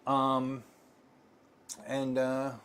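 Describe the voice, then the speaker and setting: A man co-hosts a casual live stream and chats with a mid-range voice. He rambles and keeps restarting his sentences, filling the pauses as he goes. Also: medium pitch, monotone, fairly bright tone, smooth. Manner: chatty, rambling, with frequent fillers and restarts.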